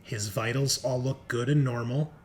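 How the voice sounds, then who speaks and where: A man improvising narration as game master of a tabletop roleplaying game, speaking conversationally and often pausing mid-sentence to think. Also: mid-range voice, steady tone, slight background noise.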